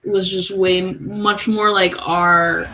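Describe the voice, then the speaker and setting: A woman's voice: fairly low pitch, flat delivery, slow, bright, forceful tone, poor audio. A woman explaining things informally, as a guest in a casual interview. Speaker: podcast guest, music company manager. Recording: clear background noise.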